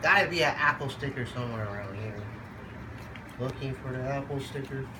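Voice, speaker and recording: A congested vlogger with a cold, unboxing a computer in a laid-back, halting way and talking to the dogs in between. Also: mid-range voice, noisy background. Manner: halting, lots of fillers, chats to the dogs. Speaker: channel host unboxing a computer. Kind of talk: casual unboxing vlog.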